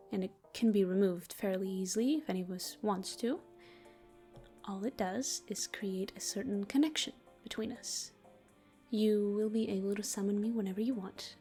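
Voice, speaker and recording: A protective female demon, played by a woman, comforts a human in an intimate ASMR roleplay. Her voice is warm and relaxed at a middling pitch, unhurried and soothing with a teasing edge, sometimes dropping to a whisper. Music plays behind her. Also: lightly acted.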